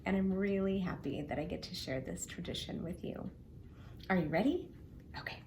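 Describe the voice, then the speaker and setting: A middle-aged woman reading to children in an expressive, simple, explanatory way. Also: fairly low pitch, normal speed, a little hiss or hum. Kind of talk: children's read-aloud with friendly introduction.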